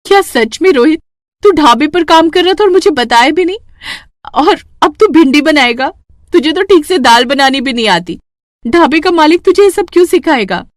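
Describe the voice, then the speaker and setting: A woman voicing a poor, hot-tempered but loving mother in a cartoon moral story, speaking in an expressive, exclaiming way. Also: high voice, moderate pace, dry recording, studio quality, voice pushed up. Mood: surprised questioning. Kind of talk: animated moral-story dialogue.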